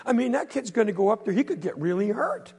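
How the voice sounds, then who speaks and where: A man voicing a quiet, stern old man who scolds his friend, in a told folk tale. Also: sits high, very animated, fast talker, breathy edge, voice pushed up, heavily acted. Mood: scolding. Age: older.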